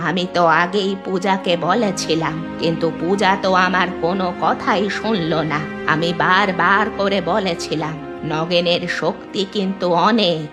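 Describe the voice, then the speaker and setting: A woman's voice: on the low side, steady tone, measured pace, natural voice. A woman playing an earnest, worried old village grandmother in a cartoon. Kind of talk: dubbed cartoon dialogue. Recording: music bed behind the voice.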